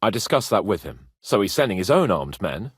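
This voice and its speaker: An actor playing an earnest, proud medieval nobleman in a video game, with a husky voice and a formal, emphatic manner. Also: lowish voice, sing-song, fairly quick, dry recording, studio quality, heavily acted. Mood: serious, explaining. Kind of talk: video game character dialogue.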